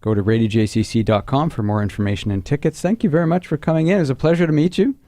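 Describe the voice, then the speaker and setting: A man's voice: fairly high pitch, very fast, warm tone, dry recording. A man hosting a live radio interview, chatting and announcing into a close studio microphone.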